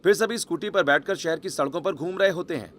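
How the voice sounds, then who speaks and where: A man's voice: fairly high pitch, quick pace, fairly bright tone, a little hiss or hum. A man narrating a scripted dramatic story in the present tense.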